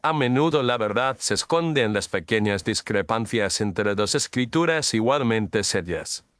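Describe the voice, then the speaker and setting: A man playing a courteous, precise Victorian gentleman detective in an audio story, with formal, measured phrasing. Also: fairly low pitch, expressive, no echo. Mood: probing, polite. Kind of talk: audio story character dialogue.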